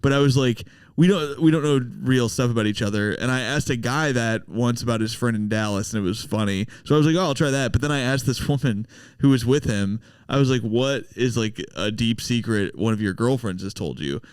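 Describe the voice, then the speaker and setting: A man's voice: medium pitch, a little fast, clean tone, no echo. A man, a stand-up comedian, telling stories in a laid-back, swearing podcast chat with frequent laughs.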